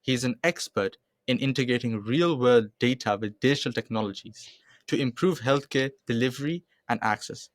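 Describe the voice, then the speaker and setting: A man's voice: mid-range voice, normal speed, no room sound, studio quality. A young man hosting an interview podcast, with formal, scripted introductions and short questions. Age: young adult. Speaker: podcast host.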